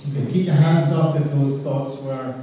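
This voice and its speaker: A middle-aged man with a heavy Israeli accent, a clinical social worker speaking slowly and deliberately to an audience, with pauses and soft drops in his voice. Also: a little high, steady tone, slight background noise. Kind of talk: public speech to an audience. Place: large hall. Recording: distant, echoey, dull hall recording.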